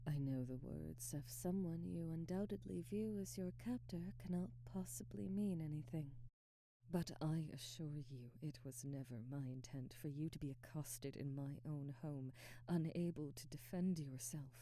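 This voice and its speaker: A woman playing a proud, ambitious vampire aristocrat in an intimate ASMR roleplay, speaking softly and breathily with measured, formal diction. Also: sits low, no room sound, poor audio. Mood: soft, remorseful. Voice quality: soft, breathy, whispery. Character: proud, ambitious female vampire aristocrat, remorseful, domineering captor.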